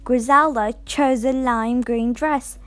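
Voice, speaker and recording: A woman's voice: on the high side, fairly even intonation, slow pace, faint music behind. A very young female reader giving a scripted fairy-tale narration from a storybook. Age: child or very young.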